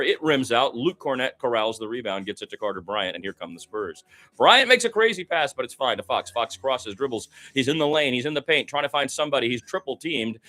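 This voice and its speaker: A man doing live radio play-by-play of a basketball game in short, clipped phrases that rise with the action. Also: mid-range voice. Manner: narrates action in short clipped phrases, rising excitedly on big plays.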